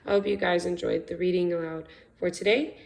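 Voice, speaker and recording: A woman's voice: fairly low pitch, steady tone, brisk, creaky, darkish tone. A woman with an American accent reading a storybook aloud expressively, as a teacher does for young children. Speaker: second grade teacher.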